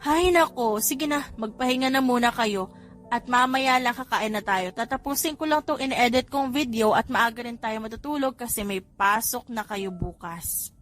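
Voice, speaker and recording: A high-voiced young mother acted by a woman reader, fond but a little exasperated. She scolds gently in storybook dialogue over faint music. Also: moderate pace, lightly acted. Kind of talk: audiobook character dialogue.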